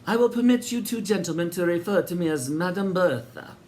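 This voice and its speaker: Guy doing a sharp haughty old lady who runs a creepy guest house, high and swoopy. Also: animated, fairly quick, slight background noise. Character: sinister old lady twin hotel keeper, snappish.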